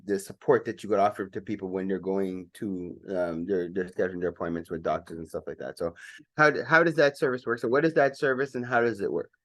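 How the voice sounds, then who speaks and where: A man's voice: fairly low pitch, fairly bright tone, no echo. Rambling male radio host, dry sound. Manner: rambling, many restarts and fillers. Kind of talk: casual radio interview.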